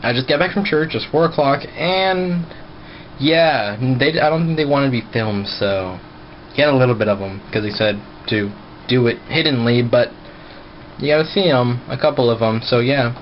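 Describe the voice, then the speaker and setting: A man's voice: medium pitch, normal speed. Young man vlogging, muffled noisy audio. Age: young adult. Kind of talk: casual vlog talk to camera. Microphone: handheld camera at arm's length.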